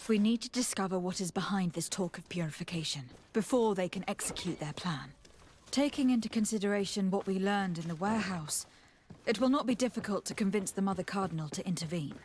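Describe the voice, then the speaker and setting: Actress as measured young game heroine, formal, diplomatic, determined, mid pitch, faint noise behind. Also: female voice, lively intonation, a little mellow, lightly acted. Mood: grave resolve. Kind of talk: video game voice acting.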